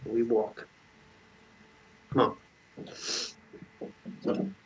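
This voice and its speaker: A man's voice: mid-range voice, flat delivery, extremely slow. Man acting earnest romantic lead, muffled lo-fi sound. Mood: apologetic, tender. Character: pleading, protective young man in a fantasy romance. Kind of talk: dramatic table read acting.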